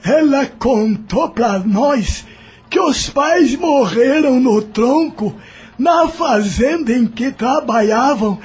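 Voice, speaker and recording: A man's voice: very high voice, a little mellow, husky, heavily acted. A man acting a humble, fearful enslaved servant in an audio drama, speaking in a rustic, deferential way.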